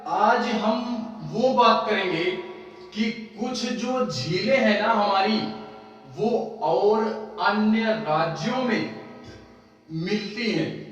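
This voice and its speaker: A man's voice: sits high, a bit bright. Young man teaching in an echoey hall. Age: 20s. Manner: chatty, repeats questions and answers them himself. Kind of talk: casual coaching lecture. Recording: echoey far-off room with faint music.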